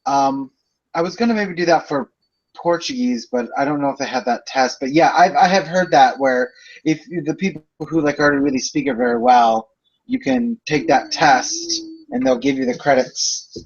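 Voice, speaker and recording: A man's voice: medium pitch, moderate pace, breathy edge, dull audio. A young man in his twenties, a student chatting casually with classmates about courses on a video call, rambling through explanations with lots of fillers. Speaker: student in a group project.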